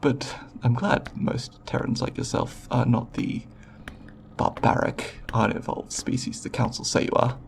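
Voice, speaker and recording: A man playing a timid, scholarly alien researcher in an ASMR roleplay, speaking haltingly and politely in formal scientific language with a creaky voice. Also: medium pitch, steady tone, slight background noise. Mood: sheepish, grateful. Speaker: roleplay voice performer.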